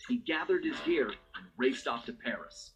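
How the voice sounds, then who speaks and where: An American man voicing a suave, confident master thief, narrating a video game cutscene in a smooth, wry, unhurried storytelling manner. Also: mid-range voice, animated, close to an everyday voice, rough recording. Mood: wry, fond. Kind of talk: scripted first-person cutscene narration. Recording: music under the voice.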